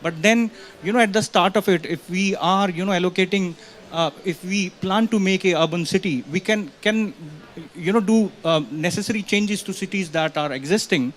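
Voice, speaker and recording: Halting, higher-pitched man. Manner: halting, frequent "you know". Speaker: audience questioner. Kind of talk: impromptu question at a panel event. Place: conference pavilion with small audience. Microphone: handheld event microphone.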